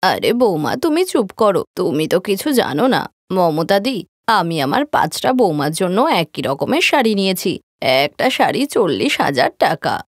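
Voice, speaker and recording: A woman's voice: on the low side, slightly rough, dry recording, studio quality, voice pushed down, exaggerated voice. A woman voicing a scheming, boastful older mother-in-law in a cartoon story, bossy and blustering.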